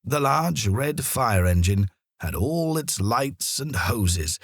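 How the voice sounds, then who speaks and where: A man narrating a children's story in an expressive storytelling manner, with deliberate pauses. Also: medium pitch, no room sound, studio quality. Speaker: audiobook narrator.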